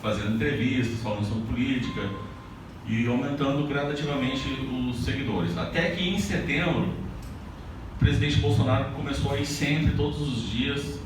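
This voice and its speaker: A man from Paraná talks casually to a live audience at an indoor event, often breaking off and restarting his sentences. His voice is heavily echoey and sounds far from the mic, with clear room ambience behind him. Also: mid-range voice, normal speed, noisy background. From Paraná, Brazil. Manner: loose and conversational, with frequent restarts. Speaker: author at a book launch. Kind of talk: speech to an audience.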